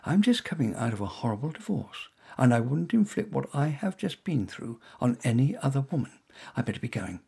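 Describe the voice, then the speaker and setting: A man voicing a cheerful woman in her thirties who speaks in a bright, teasing way. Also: mid-range voice, very animated, a little fast, creaky, husky, heavily acted. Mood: distant.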